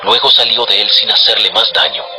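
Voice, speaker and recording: A man's voice: fairly low pitch, animated, fairly quick, over music, rough recording. A man narrating a dramatized Bible story from a script.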